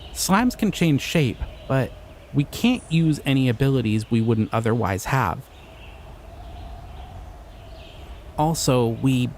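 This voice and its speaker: A young man voicing a shy, excitable male slime creature in an audio roleplay, talking in an earnest, flustered, giggly way. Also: medium pitch, slight background noise. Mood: curious. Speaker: voice actor.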